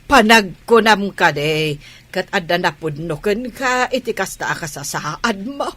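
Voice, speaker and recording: A woman playing a worried, scolding village mother, husky and with an Ilocano accent, in a comedy radio drama. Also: mid-range voice, animated, a little slow, a bit bright.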